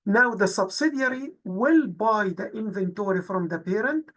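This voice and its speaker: A woman instructor with a husky voice, explaining worked exercises methodically in a tutorial narration. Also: mid-range voice, normal speed, fairly bright tone. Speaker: accounting instructor.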